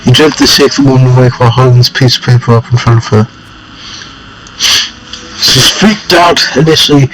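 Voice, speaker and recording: A man reading an interactive text game aloud. He adds amused asides, often clears his throat, and stumbles and rereads phrases. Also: mid-range voice, fairly quick, pauses often, a bit bright, slight background noise, low-quality recording.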